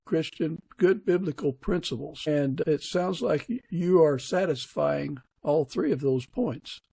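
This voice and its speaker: Gravelly, husky male podcast host. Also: on the high side, animated, a little slow, dark tone, no echo. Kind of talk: casual interview conversation.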